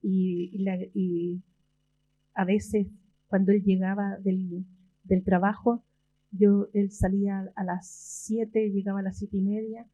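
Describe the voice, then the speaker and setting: A woman poet from Chile, talking about her father and then reading her poems in a slow, measured way with long pauses, at a library event. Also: medium pitch, level tone, mellow, breathy edge, dull audio. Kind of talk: slow poetry reading and talk.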